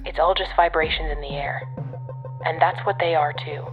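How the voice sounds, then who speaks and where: A woman's voice: low-pitched, steady tone, moderate pace, lo-fi sound, over music. An actress playing a brusque, sardonic woman handler in an audio drama, giving blunt, commanding guidance from a distance. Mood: tense, hushed.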